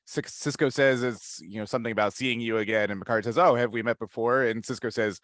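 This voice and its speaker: A man's voice: mid-range voice, steady tone, quick pace, a bit bright, no room sound, studio quality. A man talking casually as a panelist on a discussion podcast, restarting his phrases as he goes.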